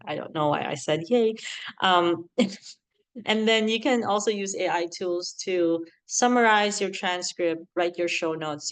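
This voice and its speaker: A woman in her thirties from the Philippines, with a Filipino accent that leans American, giving animated, chatty podcast advice and laughing often. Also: lowish voice. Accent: Filipino, with an American lilt. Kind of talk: casual advice talk.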